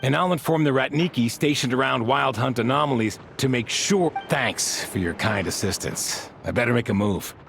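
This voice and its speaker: Gravelly, courteous soldier. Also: male voice, mid-range voice, normal speed, hardly any pauses, a bit bright, faint music behind. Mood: businesslike. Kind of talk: video game dialogue.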